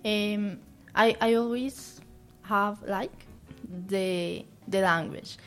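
Slow, halting young woman with a Colombian accent. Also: mid-range voice, fairly bright tone, soft background music. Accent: Colombian Spanish. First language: Spanish. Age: young adult. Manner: halting, searching for words, many restarts. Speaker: university student guest. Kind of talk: interview answers in a second language.

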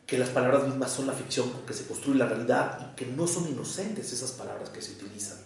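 A man with a Mexican accent, mixing Mexico City and Jalisco speech, gives a live lecture on psychoanalysis. He speaks in a measured, deliberate way, repeats his key phrases and checks in with the audience. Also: medium pitch, gravelly. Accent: Mexican Spanish, Mexico City mixed with Jalisco. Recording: very echoey room, mic far away.

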